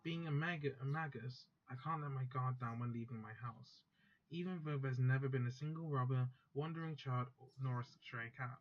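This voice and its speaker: A man's voice: mid-range voice, moderate pace, natural voice. A man reading aloud the first-person narration of a proud teenage girl magus from a visual novel, in a plain voice that stumbles and corrects itself. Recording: dull lo-fi sound, faint music.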